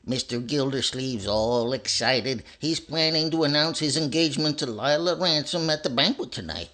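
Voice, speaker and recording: A man's voice: medium pitch, moderate pace, pushed and bright, natural voice. A man playing a meek, polite henpecked husband with a secretly spiteful streak, in old-time radio comedy style. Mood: polite, chatty.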